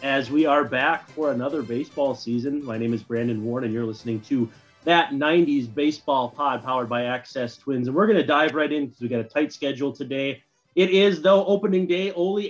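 A man's voice: on the high side, a little fast, lo-fi sound, soft background music. A man in his thirties with an American accent hosts a casual sports podcast chat. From Minnesota, USA. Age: 30s. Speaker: podcast host.

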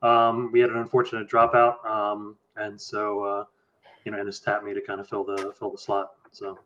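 Bright, slightly low young American man. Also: monotone, moderate pace. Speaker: podcast guest, tournament player. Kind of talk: casual chat. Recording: slightly dull audio.

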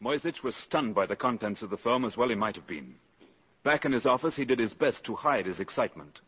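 A man's voice: mid-range voice, animated, a little fast, a bit bright, husky. A man narrating a 1950s radio drama in a scripted style. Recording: muffled old radio recording with music. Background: music.

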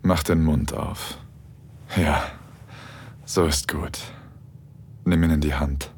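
An actor playing an assured, tender husband who gently takes charge in an intimate erotic audio drama, coaxing and commanding. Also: male voice, rumbling low, steady tone, slow pace, a little hiss or hum. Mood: aroused, commanding.

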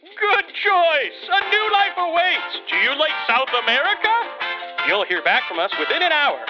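An actor playing the theatrical, teasing recorded voice of a secretive covert project on an answering machine. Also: male voice, extremely high pitch, big pitch swings, moderate pace. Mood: gleefully theatrical. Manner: dramatic, teasing, abrupt shifts from menace to cheer. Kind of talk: recorded phone greeting in audio drama. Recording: dull lo-fi answering machine, music behind.

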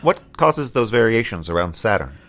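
A man's voice: lowish voice, sing-song, moderate pace, fairly bright tone. An actor playing a weary, wry, heartsick man in a space colony, in a dry, understated audio drama delivery. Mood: wistful. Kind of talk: scripted audio drama acting.

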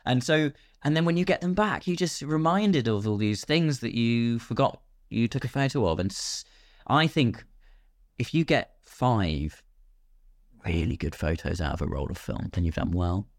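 A British man talking casually as a podcast guest, with an expressive way of telling things. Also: mid-range voice, moderate pace, dry recording, clean studio sound. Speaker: podcast guest, filmmaker and voice actor. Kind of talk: casual conversational interview.

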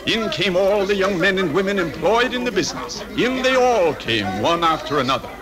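A man's voice: high voice, expressive, moderate pace, a crowd in the background. A man playing the storybook narrator of a Christmas tale in old-time radio style. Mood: storytelling. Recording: old radio broadcast with music behind.

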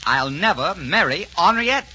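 An actor playing an ardent, defiant young French prince in a theatrical 1940s radio romance. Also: male voice, high-pitched, expressive, measured pace. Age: 33 as played. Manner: theatrical, impassioned. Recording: noisy, muffled 1940s radio recording.

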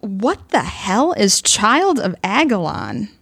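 A woman's voice: mid-range voice, very animated, takes it slowly, creaky, noisy background, full character voice. A woman playing a sardonic, impatient pulp writer in an audio play, with a dry, sarcastic manner. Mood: irritated.

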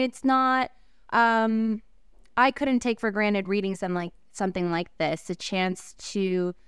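A woman whose first language is Korean speaks in an exceptionally smooth, clean voice, recorded close and dry. She talks reflectively as an interview guest, pausing on fillers and breaking into soft laughs. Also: mid-range voice, no echo. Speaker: actress interviewee.

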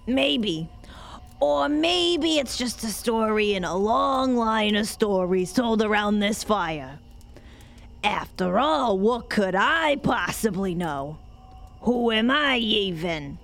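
A woman playing a wry, enigmatic moonshine brewer and storyteller in an audio drama, speaking deliberately. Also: medium pitch, expressive, vocal fry.

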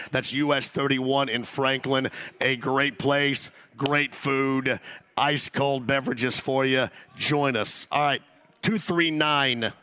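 A man hosting a sports talk radio show, hoarse after losing his voice, talking loosely with many restarts. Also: mid-range voice, bright, forceful tone. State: hoarse, lost voice.

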